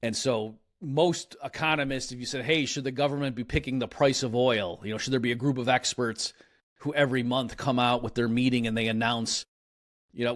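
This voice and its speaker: A man talking through economic ideas in a relaxed interview, his voice at an ordinary middle pitch. He explains patiently and loosely, stopping to restart sentences and leaning on little fillers as he goes. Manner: conversational, many restarts and fillers, lecturing. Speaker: economist guest.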